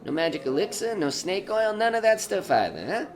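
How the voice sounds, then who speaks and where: A man's voice: high voice, big pitch swings, a little fast, a faint crowd. A man playing a folksy, slyly cheerful salesman of devilish contracts in a retro horror audio drama, coaxing with colloquial patter. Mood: cheerful pitching. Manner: wheedling, colloquial patter with tacked-on questions.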